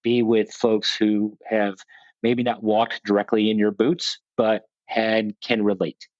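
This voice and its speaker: Low-voiced plainspoken man, dull dry audio. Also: level tone, slow, no room sound. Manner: plainspoken, rambling, self-deprecating, frequent restarts. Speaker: guest, veterans motorsport program leader. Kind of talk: conversational interview.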